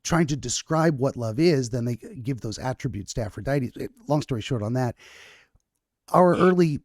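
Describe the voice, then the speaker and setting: A man's voice: mid-range voice, animated, normal speed, darkish tone, no room sound, studio quality. A man hosting a podcast, talking in a rambling way with many restarts and self-corrections. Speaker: podcast host. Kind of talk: casual conversational interview.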